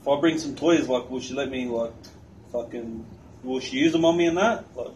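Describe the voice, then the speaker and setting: A man's voice: mid-range voice, a little fast, a little mellow. Crude Australian man, distant noisy recording. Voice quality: crude, slangy talk. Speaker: prank caller. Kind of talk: casual slangy prank phone call. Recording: distant phone-camera pickup with noise.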